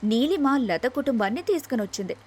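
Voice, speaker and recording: A woman's voice: high voice, lively intonation, a little fast, a little mellow, a little hiss or hum. A woman storyteller reading a scripted moral tale as narration.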